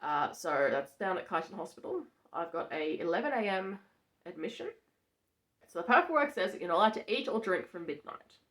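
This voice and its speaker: A young woman with a gravelly, hoarse voice from allergies, talking in a chatty, matter-of-fact way in a casual vlog from a farmhouse bedroom. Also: fairly low pitch, very animated, brisk, a bit bright. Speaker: vlogger.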